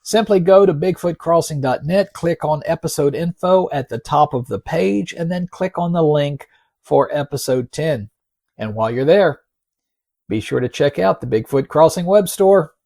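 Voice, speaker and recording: A man hosting a podcast, narrating in a folksy, wry and measured way, reading out quotes with deliberate emphasis. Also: a little high, gravelly, darkish tone, no room sound, studio quality. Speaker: podcast host. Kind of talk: narrated podcast storytelling with read-out article quotes.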